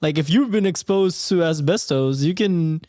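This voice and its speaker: A man's voice: a little high, normal speed, darkish tone, dry recording, clean studio sound. A man on a podcast panel, chatting casually in a blunt, sweary way. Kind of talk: casual chat.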